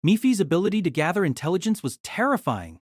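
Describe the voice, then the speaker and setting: Expressive novel narrator, lively pitch. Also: fast, dry recording, clean studio sound.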